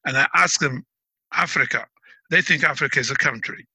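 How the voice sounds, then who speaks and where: Guy, middle-aged, lectures a bit like a teacher, really bright sharp voice, dry close sound. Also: medium pitch, fairly quick, husky, dry recording. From Algeria. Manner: explains like a teacher; proud, emphatic. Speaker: interviewee. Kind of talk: casual interview talk.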